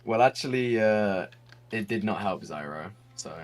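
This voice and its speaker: Chatty young English commentator, low voice. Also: male voice, animated, a little slow, faint music behind. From England. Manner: chatty, laughs often. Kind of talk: casual online race commentary.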